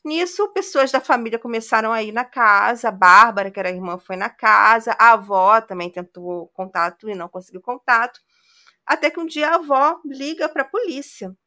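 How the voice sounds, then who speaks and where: Woman podcast host, bright tone, chatty crime storyteller. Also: on the high side, lively intonation, moderate pace. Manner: conversational retelling with frequent "né".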